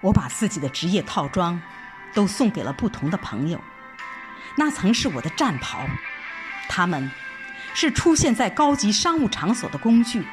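A woman narrating a scripted first-person story in a measured, expressive storytelling style. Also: mid-range voice, over music. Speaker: audio drama narrator.

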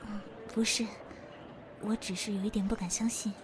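A woman playing a meek, wounded young office worker in an audio drama, speaking softly and hesitantly. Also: medium pitch, flat delivery, moderate pace, background noise, lightly acted. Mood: downcast, reassuring. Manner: soft, hesitant, subdued. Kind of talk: modern audio drama acting. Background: faint music.

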